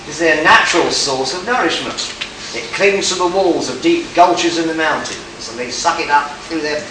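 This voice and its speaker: A man's voice: on the high side, moderate pace, close to an everyday voice. Man acting a stern detective, very echoey. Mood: sardonic, accusing. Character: stern, shrewd male detective accusing murder suspects. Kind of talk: amateur radio play acting. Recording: echoey, distant live recording with noise.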